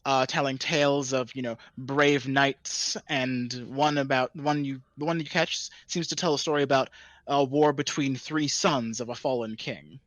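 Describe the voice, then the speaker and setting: Halting man running a dungeon game. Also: mid-range voice, fairly even intonation, a bit bright, no echo. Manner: halting, full of fillers and restarts. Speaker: dungeon master. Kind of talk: narrating a tabletop game.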